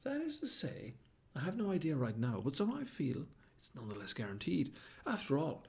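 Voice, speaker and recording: A man plays a grandiose baboon from a storybook, obsessed with fashion and fond of his own rhyming speeches. The voice sits high and husky. It swoops up and down in fussy, theatrical flourishes. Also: wildly swooping pitch, fairly quick, full character voice. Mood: flustered. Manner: pompous, sing-song rhyming, fussy and dramatic. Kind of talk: storybook character voice.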